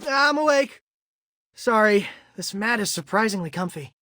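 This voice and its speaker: A man playing a sincere, easygoing teenage mutant turtle in an animated game. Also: high-pitched, moderate pace, dry recording. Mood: agreeable.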